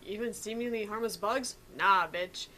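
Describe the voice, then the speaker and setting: A woman's voice: mid-range voice, moderate pace, bright, forceful tone. A woman co-hosting a gaming stream, chatting casually with teasing, slangy banter.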